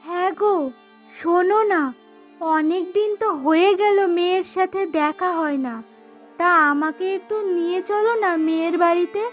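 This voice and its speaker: Woman voicing very high, bossy cartoon wife. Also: normal speed, soft background music. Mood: persuasive. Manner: pointed, questioning, directive. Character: curt daughter-in-law, or bossy persuasive wife. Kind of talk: cartoon dubbing.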